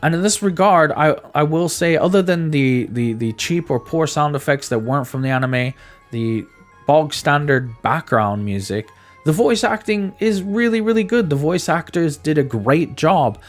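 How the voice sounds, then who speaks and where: A man's voice: a little high, animated, moderate pace, faint music behind. A man giving a casual, conversational video game review, speaking emphatically and often stammering or repeating his words.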